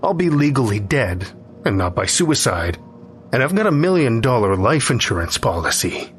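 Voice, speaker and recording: A man's voice: mid-range voice, very animated, moderate pace, slight background noise, close to an everyday voice. A man playing a driven, scheming man in an audio story, explaining himself insistently. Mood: determined, excited. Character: scheming, driven man plotting his own resurrection.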